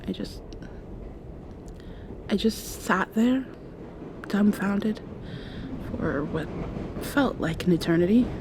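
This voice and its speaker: A woman playing a shy, tender young girlfriend in an intimate ASMR roleplay, speaking softly and haltingly and often slipping into a whisper. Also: mid-range voice, animated, noisy background. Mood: sad, reflective. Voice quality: soft, breathy, often dropping to a whisper. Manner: hesitant, halting, confiding. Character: shy, tender young mosquito girl confessing past heartbreak to girlfriend.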